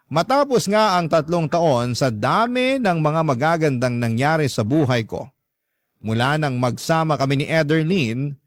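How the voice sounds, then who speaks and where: A man's voice: mid-range voice, dry recording, studio quality. A man with a Filipino accent narrating a scripted first-person story for a radio drama.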